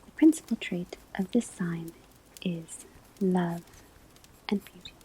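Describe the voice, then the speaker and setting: Slow whispering witch-school professor played by a woman. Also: lowish voice. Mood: calm, instructive. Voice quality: soft, breathy whisper. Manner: slow, deliberate, measured, instructive. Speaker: ASMR roleplay performer. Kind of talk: whispered ASMR classroom roleplay lecture. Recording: clear background ambience noise. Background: classroom ambience, crackling fireplace, pages turning.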